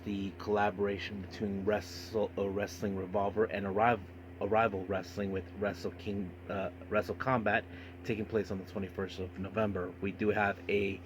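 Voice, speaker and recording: A low-voiced man hosts a casual solo podcast, reading through news and reviews. His delivery is halting, often tripping on words and names. Clear background noise runs through the recording. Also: low-quality recording. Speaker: wrestling podcast host.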